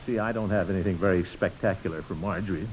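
An actor playing a hearty, pompous middle-aged uncle in 1940s radio comedy, blustery and coaxing. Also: male voice, deep voice, fast talker, close to an everyday voice. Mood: coaxing, worried. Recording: noisy old-time radio recording.

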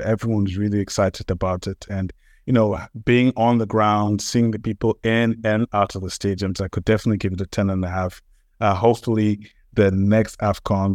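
A middle-aged man from Ghana speaks as an interview guest, talking eagerly with plenty of ums and restarts. His voice sits on the low side, and the recording is dry and close. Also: darkish tone, no room sound. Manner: enthusiastic, frequent fillers and restarts. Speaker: guest, sports marketer. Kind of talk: conversational interview answers.

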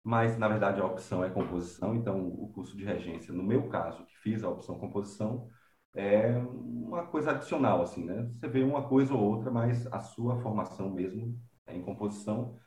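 Low, mellow man in roomy sound. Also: slight background noise. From Bahia, Brazil. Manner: unhurried, thinks aloud with fillers like "né" and "ah". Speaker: guest composer and professor. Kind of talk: relaxed conversational interview.

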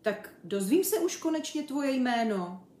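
A woman's voice: fairly high pitch, expressive, a little mellow, pitched above the performer's own voice, close to an everyday voice. A woman voicing a cheeky, boastful young male chimpanzee for a children's story, chatty and brash. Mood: boastful, teasing. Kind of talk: children's story character reading. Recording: roomy echo.

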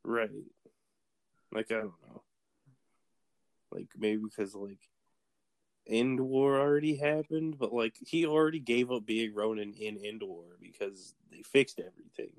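A man's voice: lowish voice, animated, a little slow, long pauses, no echo. A man with a Texan accent in casual chat as a podcast co-host, laughing easily and hedging his points with frequent fillers. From Texas. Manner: laughs easily, hesitant, lots of "like".

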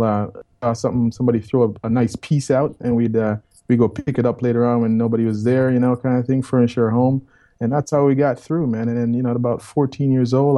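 A Jamaican man in his fifties talks through his life story as a guest, loose and rambling, often circling back on his words. His voice is dark and mellow, sitting at an ordinary middle pitch. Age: 50s. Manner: rambling, relaxed, repeats words and says man. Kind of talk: storytelling in casual interview.

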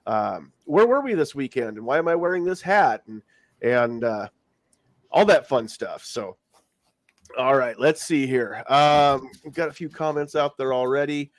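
A man's voice: mid-range voice, lively intonation, a little slow, dry recording. A man hosting a casual live podcast, congested from a cold and talking around a lozenge in his mouth. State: congested, getting over a cold. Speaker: podcast host. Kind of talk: casual live-stream chat.

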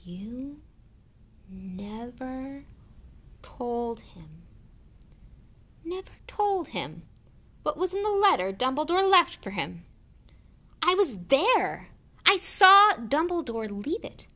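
A woman voicing a huge, indignant but big-hearted storybook man with a booming growl and blunt rustic speech. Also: animated, moderate pace, pauses often, pushed and bright. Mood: trembling rage. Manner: rustic dialect, blunt, emphatic.

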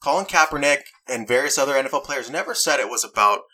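A young man with a bright, forceful voice hosts a casual chat. He argues his side earnestly and stops to read quotes aloud. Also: mid-range voice, expressive, fast. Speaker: podcast host.

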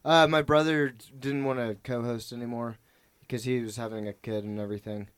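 A man's voice: medium pitch, fairly even intonation, brisk, clear, resonant tone. A man, a comedian guest on a podcast, chatting casually in a rambling way, laughing often and swearing.

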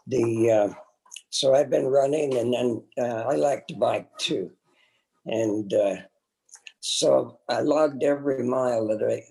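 A man's voice: medium pitch, creaky, a little mellow, poor audio. A man in his seventies casually recalling his own experience as an interview guest, plain-spoken and hesitant. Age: 70s. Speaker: interview guest, host's father. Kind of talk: casual personal recollection.

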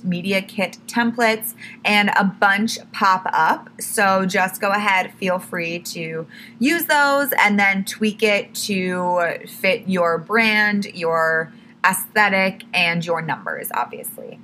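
A young woman hosts her own podcast in a loose, chatty way, full of "like" and quick self-corrections. Her voice is bright and clear, with a little hoarseness creeping in. A faint hum of the room sits underneath. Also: medium pitch, low-quality recording. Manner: chatty, self-correcting, says "like" and "literally" a lot. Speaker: podcast host. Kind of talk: casual solo podcast tips.

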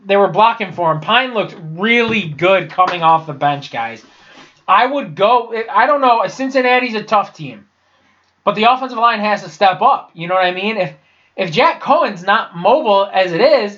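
A man's voice: high voice, sharp tone, muffled recording. A young man with a congested, sinus-clogged voice, casually recapping college football scores, blunt and profane, often stumbling and restarting. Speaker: sports podcast host. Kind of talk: casual solo sports recap.

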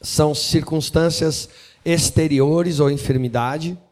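A man interpreting a sermon line by line, earnest and quick to follow. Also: on the high side, fairly even intonation, darkish tone, big-room sound. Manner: earnest, repeats each line right after the preacher.

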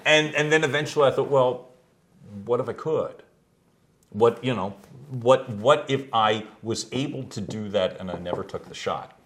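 A middle-aged man from the United States, a voice coach being interviewed at home, who talks loudly and animatedly and laughs easily. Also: medium pitch. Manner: loud, animated storyteller with quick laughs. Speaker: guest, executive voice coach. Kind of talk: conversational interview. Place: his home.

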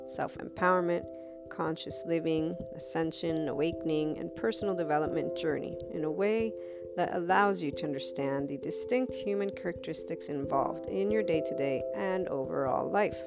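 A woman hosting a podcast on a headset mic, speaking loudly and enunciating her words carefully, getting passionate as she goes. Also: sits low, moderate pace, over loud music. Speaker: podcast host. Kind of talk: scripted intro then casual podcast talk.

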